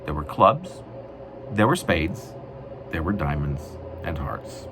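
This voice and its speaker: A man reading his own writing as a poet, with deliberate, emphatic phrasing. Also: extremely low pitch, sing-song, noisy background. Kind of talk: dramatic poetry reading with casual spoken introduction.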